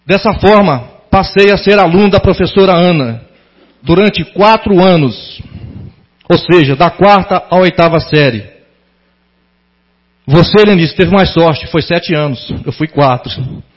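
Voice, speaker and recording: High-voiced, husky Brazilian man giving a speech. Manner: formal, sentimental, deliberate phrasing. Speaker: former pupil giving a tribute to a teacher. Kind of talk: prepared public tribute speech. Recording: dull lo-fi sound.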